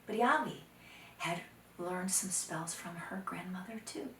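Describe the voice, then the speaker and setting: A woman telling a folktale to children, dramatic, expressive and deliberate, sometimes dropping to hushed phrases. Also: lowish voice. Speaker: storyteller. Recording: roomy echo, distant microphone.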